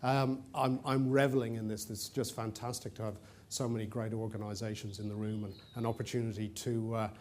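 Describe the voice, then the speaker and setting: A man's voice: on the low side, level tone, fairly quick, hardly any pauses, a little mellow. A middle-aged man from Ireland with an Irish accent, giving a chatty, self-deprecating talk to an audience in a conference room, with fillers and restarts. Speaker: conference presenter.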